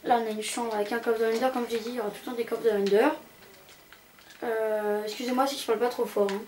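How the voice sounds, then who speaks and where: A woman's voice: fairly high pitch, level tone, fast, a little mellow. A young woman giving a casual, rambling, hesitant commentary as she tours a video game. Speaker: gaming channel host. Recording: amateur home recording with background noise.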